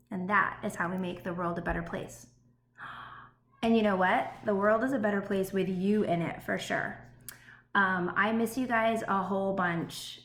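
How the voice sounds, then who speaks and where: Woman teacher in a roomy, echoey space. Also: mid-range voice. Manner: encouraging, child-directed, with frequent check-in questions. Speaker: elementary school teacher. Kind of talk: read-aloud and chat to young pupils. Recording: roomy echo, faint background noise.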